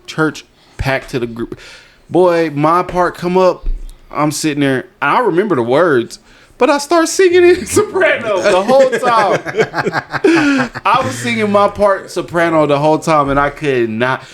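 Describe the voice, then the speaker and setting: A man's voice: sing-song, unhurried, a bit bright. A man, chatting casually on a podcast and telling stories with laughs. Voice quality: deep voice. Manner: storytelling with laughs, Southern US slang. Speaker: podcast co-host.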